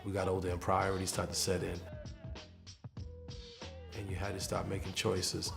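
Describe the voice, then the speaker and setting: A man's voice: low-pitched, completely flat delivery, normal speed, vocal fry, music in the background. A man who became a father early, speaking reflectively in a documentary interview.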